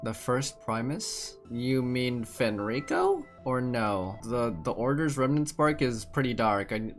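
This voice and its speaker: Male streamer, middle pitch, casual playthrough chat, expressive, playful, game music behind. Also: slow. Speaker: gaming streamer.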